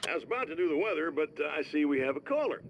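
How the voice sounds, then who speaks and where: An actor playing a laid-back, chatty late-night radio host, heard over a radio broadcast. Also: male voice, a little high, sing-song, very fast, slight background noise, low-quality recording. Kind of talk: video game cutscene acting.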